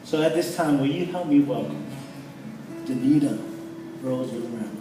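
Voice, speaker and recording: A pastor from the Philippines, excited and emotional, introducing a guest at a church launch. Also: male voice, mid-range voice, normal speed, over music. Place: large church hall. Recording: echoey hall, distant mic.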